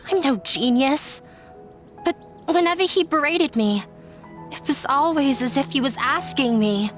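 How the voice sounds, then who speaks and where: An actress playing a dejected, self-sacrificing young woman in an anime game dub, confiding in a sorrowful, resigned way. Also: high-pitched. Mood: bitter, resigned. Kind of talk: English-dubbed anime game voice acting.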